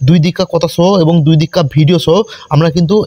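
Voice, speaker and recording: A man's voice: fairly high pitch, little pitch movement, quick pace, mellow, dull audio. A man narrating a step-by-step tech tutorial as a channel host, repeating himself and often addressing viewers as friends.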